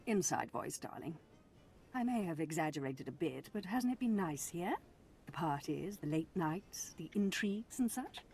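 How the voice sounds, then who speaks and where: A woman's voice: lowish voice, lively intonation, moderate pace, darkish tone. A woman playing a condescending, controlling noblewoman in a video game, with disdainful aristocratic drawl and endearments. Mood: cajoling.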